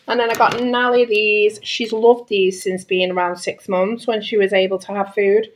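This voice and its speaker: High-voiced British woman vlogger. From Britain. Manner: chatty, informal, repeats words for emphasis. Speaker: family vlogger. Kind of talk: casual grocery haul chat to camera. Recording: clear background noise, packaging crinkling. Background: crinkling packets, clicks, handling noise.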